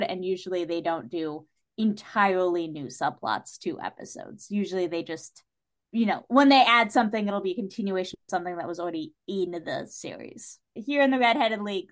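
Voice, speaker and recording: Woman, podcast guest, mid-range voice, casual chat, opinionated, wry and animated. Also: medium pitch.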